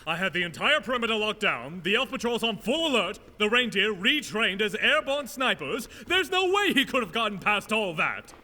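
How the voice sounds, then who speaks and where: Zealous guard, pompous, over-earnest, high forceful voice, long reverb, audio drama. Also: male voice, very animated, normal speed, hardly any pauses, full character voice. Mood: indignant. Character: pompous, zealous male monster-hunting guard. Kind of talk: scripted audio drama acting. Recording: long reverberant hall sound.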